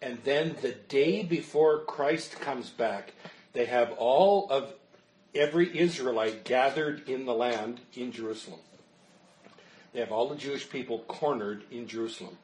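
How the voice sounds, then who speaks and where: A man's voice: mid-range voice, unhurried, darkish tone, slight background noise, rough recording. A man, a pastor, teaching a Bible study in an earnest, explanatory way and answering questions while losing his voice.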